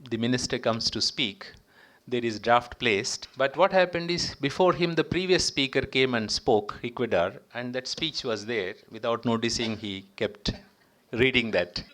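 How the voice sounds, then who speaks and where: A man with an Indian accent speaking as a diplomat and guest speaker, addressing professionals in a formal, emphatic way with deliberately broken phrases. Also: medium pitch, fairly bright tone. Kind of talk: public speech to professionals.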